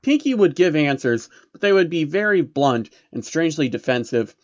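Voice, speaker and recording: A man's voice: fairly high pitch, expressive, normal speed. A man narrating a scripted story in a casual, sardonic reviewer's manner. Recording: dull lo-fi recording with faint noise.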